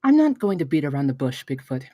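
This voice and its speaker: A blunt, businesslike woman played by a woman at a comedy script read. The voice is rough and husky with lively pitch movement, recorded dry. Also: quick pace, dry recording, full character voice. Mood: firm. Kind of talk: comedic screenplay table read.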